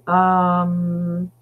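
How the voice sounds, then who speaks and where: Hoarse woman chatting on a live stream. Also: fairly low pitch, narrow, tinny audio. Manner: chatty and meandering, thinking aloud. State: hoarse, losing her voice. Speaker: story reader and live streamer. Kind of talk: casual live-stream chat between story readings. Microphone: budget desktop microphone.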